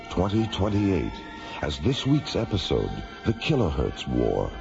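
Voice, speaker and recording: An actor playing a grave, portentous science fiction narrator, gravelly and deliberate, in a dramatic radio drama style. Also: male voice, sits low, full character voice. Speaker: narrator and announcer. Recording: dull old radio recording with music.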